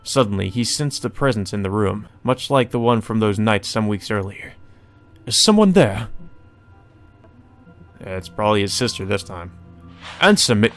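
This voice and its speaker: Man, fairly low voice, let's play host, casual reader, faint background music. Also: steady tone, fairly quick, hardly any pauses. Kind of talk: reading a visual novel aloud with casual reactions.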